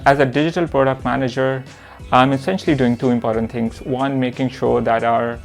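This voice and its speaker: A young man from Pakistan talks about his career in an earnest, measured way, often pausing on "uh" to find his words. His voice is mid-pitched with a gravelly edge, and soft music plays underneath. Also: steady tone. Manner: earnest, measured, with frequent "uh" pauses. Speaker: product manager and course instructor. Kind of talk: reflective self-introduction to camera.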